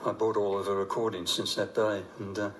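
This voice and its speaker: A man's voice: on the low side, flat delivery, a little fast, slight background noise. An older man with an Australian accent, a veteran radio presenter chatting casually on air.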